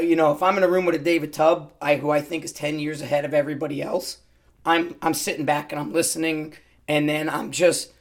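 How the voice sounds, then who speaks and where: Hoarse guy, voice going, kinda high-pitched, chatty quick bursts. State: hoarse, losing his voice. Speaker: podcast host and rifle instructor. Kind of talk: casual interview answers.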